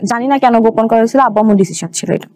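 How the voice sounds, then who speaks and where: A woman's voice: a little high, very fast, darkish tone. A young adult woman with a Bangladeshi accent, a guest recounting her own life in a conversational way. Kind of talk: conversational personal storytelling.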